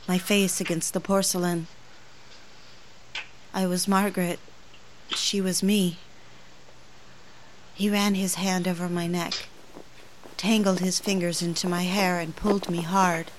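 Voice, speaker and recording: A woman's voice: fairly low pitch, little pitch movement, a little slow, long pauses, slight background noise, lightly acted, rough recording. A woman acting the part of a frightened, pleading young wife in an audio drama, anxious and eager to please. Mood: numb, hushed.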